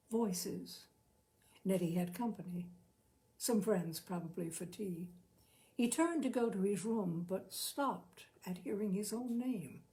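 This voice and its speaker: A woman narrating literary fiction expressively, with dramatic, deliberate phrasing and lingering pauses. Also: mid-range voice, warm tone, poor audio. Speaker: narrator, story reader.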